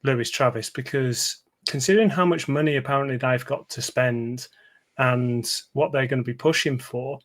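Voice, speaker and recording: A man's voice: medium pitch, fairly even intonation, normal speed. A man from northern England with a Birmingham accent, co-hosting a casual football chat in a chatty, hesitant way. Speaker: football podcast co-host.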